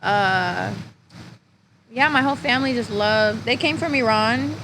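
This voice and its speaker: A woman's voice: on the high side, measured pace, noisy background. A young woman from California, a podcast guest chatting candidly, laughing and swearing freely. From California, USA. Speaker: interview guest. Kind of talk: casual podcast interview.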